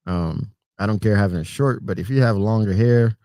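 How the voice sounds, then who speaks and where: A middle-aged man with a Puerto Rican accent, hosting a relaxed, rambling livestream chat and greeting viewers by name. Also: lowish voice, normal speed, no room sound, clean studio sound. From Puerto Rico. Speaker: trucker livestream host.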